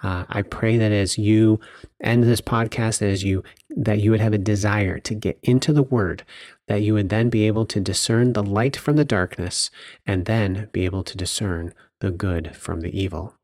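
Low-voiced man teaching from the Bible. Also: steady tone, normal speed, darkish tone, no echo, studio quality. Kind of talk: informal devotional Bible teaching.

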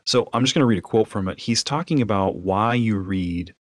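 A man's voice: fairly low pitch, a little fast, dry recording, studio quality. A man co-hosting a podcast in casual book chat, emphatic and speaking straight to the listeners. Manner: emphatic, addresses listeners directly. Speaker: podcast co-host. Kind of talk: casual chat about books.

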